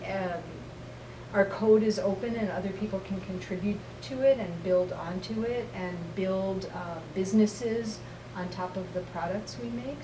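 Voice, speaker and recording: A middle-aged woman answering interview questions thoughtfully, with many ums and slow, halting phrasing. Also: medium pitch. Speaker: interviewee, company representative. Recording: roomy, distant mic, noisy ambience.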